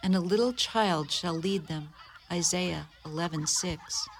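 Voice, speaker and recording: A woman's voice: fairly low pitch, measured pace. A woman playing a devout, rule-bound social worker, formal and earnest, in a community radio play. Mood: softened, devout. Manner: formal, earnest, hesitant to bend rules. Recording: noisy radio studio recording.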